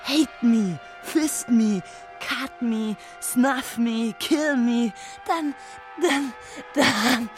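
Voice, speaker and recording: A woman playing a bitter, furious, anguished figure in an intense literary radio monologue, deliberate and insistently repetitive. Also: on the high side, soft background music.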